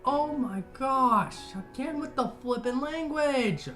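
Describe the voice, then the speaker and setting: A reaction host talking casually in an emotional, exclamatory way. The pitch moves around a lot while the pace stays slow. Faint music plays behind. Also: lively intonation, a bit bright. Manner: exclamatory, emotional, mild substitute swearing.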